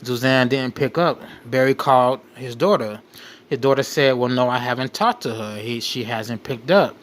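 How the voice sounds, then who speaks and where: A man hosting a show, reading a document aloud with off-the-cuff commentary in a halting way, repeating and rephrasing as he goes. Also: mid-range voice. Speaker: late night show host.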